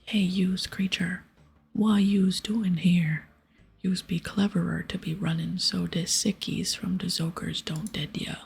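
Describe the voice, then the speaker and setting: A woman's voice: fairly low pitch, steady tone, a little slow, creaky, slightly rough, heavily acted. A woman voicing a demanding, food-loving ogre from a fantasy game, whispering in childlike broken grammar. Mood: warning. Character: gruff, demanding ogre who loves food. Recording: soft music behind.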